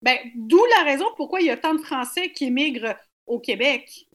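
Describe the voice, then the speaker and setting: A woman from Quebec with a Québécois French accent, a guest talking in an interview, lively and expressive. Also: high-pitched, normal speed. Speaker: guest performer. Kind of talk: radio interview.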